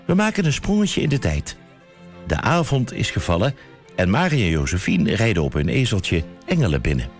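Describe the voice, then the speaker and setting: A man's voice: lowish voice, sing-song, moderate pace, faint music behind. A man playing a tongue-in-cheek, mock-solemn storyteller in a comic radio play. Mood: wry. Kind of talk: scripted narration.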